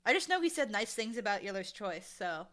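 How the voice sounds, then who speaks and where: A woman's voice: medium pitch, sing-song, fairly quick, a bit bright. A woman chatting casually as an interview guest, talking in an enthusiastic, emphatic way. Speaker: interview guest, audio drama showrunner and dialogue editor.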